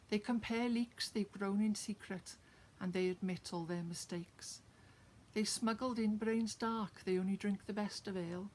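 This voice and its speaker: A woman's voice: mid-range voice, a little mellow. A woman with a Welsh accent, chatting to her viewers with many fillers before reciting her own rhymed poem. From Wales. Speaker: poet sharing her own work. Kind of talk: casual chat, then a rhymed poem recitation.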